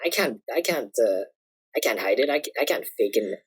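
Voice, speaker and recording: A man's voice: mid-range voice, animated, a little fast, breathy edge. A young Canadian man with a Canadian accent, a personal trainer chatting as a podcast guest, laid-back, slangy and swearing a lot. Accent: Canadian English. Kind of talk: casual chat.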